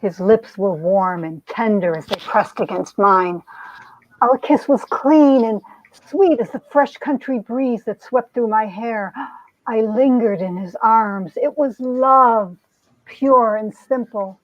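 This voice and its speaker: Woman playing a high-voiced romantic young woman. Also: slow. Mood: earnest, wistful. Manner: expressive, earnest storytelling. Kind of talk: dramatic reading of 1950 romance comic. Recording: dull, roomy lo-fi recording.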